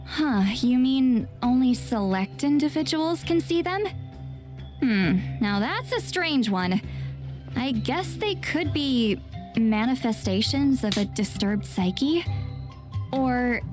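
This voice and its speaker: High-voiced, nonchalant game shaman. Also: expressive, slow, music in the background. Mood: musing. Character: laid-back, sharp-witted female shaman, hard drinker. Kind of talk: video game voice acting.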